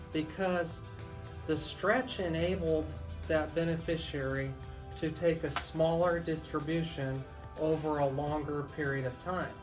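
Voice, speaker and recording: A man hosting a legal talk radio show, explaining in a deliberate way as a lawyer. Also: a little high. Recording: music bed under the voice. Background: music.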